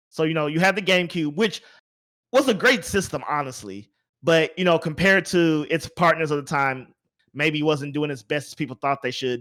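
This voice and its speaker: Male podcast host, fairly high voice, animated and rambling, informal chat delivery. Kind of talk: casual gaming podcast discussion.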